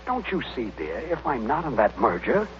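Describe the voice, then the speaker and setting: An actor playing a henpecked, earnest, pleading husband in an old-time radio comedy. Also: male voice, mid-range voice, huge pitch swings, moderate pace. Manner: pleading, fretful. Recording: muffled, noisy old radio recording.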